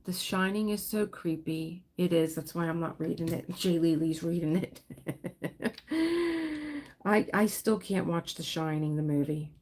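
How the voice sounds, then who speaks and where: A woman hosting a relaxed livestream, chatting in a rambling way while she crafts and answers viewers. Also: fairly low pitch, measured pace, a little hiss or hum. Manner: rambling, chatty, laughs often, reads and answers viewer comments. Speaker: livestream host. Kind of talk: casual livestream chat while diamond painting.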